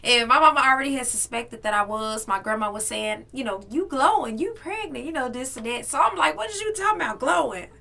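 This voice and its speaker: A young woman co-hosting a podcast, telling personal stories in an animated, chatty way and talking straight to listeners. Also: high-pitched, a little fast, gravelly, few pauses, a little hiss or hum. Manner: animated, laughing, addresses listeners directly. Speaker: podcast co-host. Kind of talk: casual storytelling chat.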